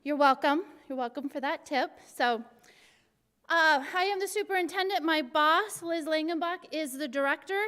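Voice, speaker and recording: A middle-aged woman from Arizona, a city recreation superintendent with a very high-pitched voice, giving an energetic, joking presentation to a class. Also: moderate pace. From Arizona, USA. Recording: long hall reverb.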